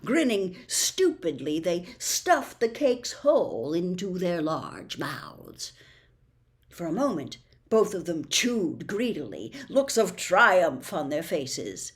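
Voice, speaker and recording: Female audiobook reader, lower-leaning voice, expressive storytelling with wide, lively intonation. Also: slow.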